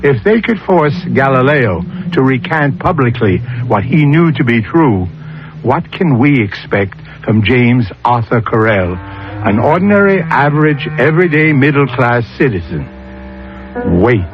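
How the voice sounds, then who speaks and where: A man hosting a 1970s radio mystery show, delivering theatrical, measured scripted narration with rhetorical flourishes. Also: medium pitch, expressive, background noise. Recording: dull old radio recording with music. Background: music.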